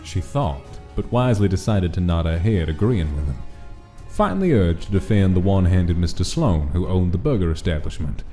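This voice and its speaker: A man's voice: low-pitched, very animated, brisk, warm tone. A man reading a scripted, dramatic story as its narrator. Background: background music.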